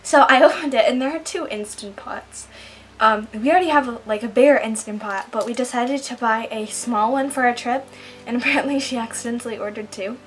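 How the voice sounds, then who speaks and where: A woman's voice: on the high side, a little fast, a little hiss or hum. A teenage girl vlogging casually from home, chatty, with lots of ums and likes. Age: teens. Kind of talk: casual vlog diary.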